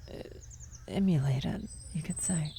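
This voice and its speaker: A woman acts out a worried female vampire in a medieval fantasy ASMR roleplay. Her low voice is soft and mellow, often slipping into a whisper. She speaks haltingly and gently, with faint birdsong and nature ambience behind her. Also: slight background noise. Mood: surprised, uneasy. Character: worried female vampire blood sorcerer, tender, guilty lover. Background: birdsong, nature ambience effects.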